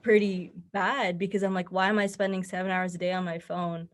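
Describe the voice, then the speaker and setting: A woman's voice: on the low side, little pitch movement, brisk. A young woman speaking as an interview guest, giving earnest, encouraging advice in a conversational way. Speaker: podcast guest, mental health advocate.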